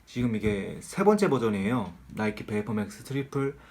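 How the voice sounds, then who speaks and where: A man's voice: on the low side, very animated, brisk, a little mellow. A man with a cold giving a casual, candid sneaker review in a nasal, slightly strained voice, joking about being broke. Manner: chatty, candid, self-deprecating about money.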